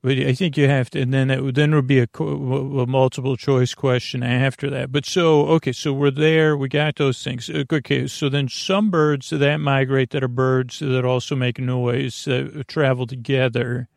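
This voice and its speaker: Man, creaky fry, medium pitch, dry sound, bedtime podcast host, halting, meandering, whimsical, soothing. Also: flat delivery, dry recording. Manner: meandering, halting, self-interrupting. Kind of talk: rambling bedtime monologue.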